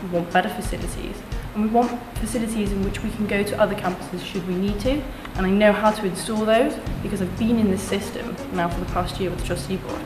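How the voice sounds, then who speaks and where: A young woman making an earnest, confident campaign pitch as a student election candidate, restating her points in lists. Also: steady tone, quick pace, hardly any pauses. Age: young adult. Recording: echoey room with music behind.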